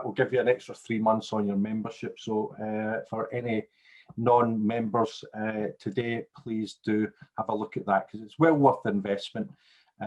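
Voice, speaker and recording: A man, a director of a business organisation, giving a formal webinar presentation with upbeat promotional turns over a video call. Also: lowish voice.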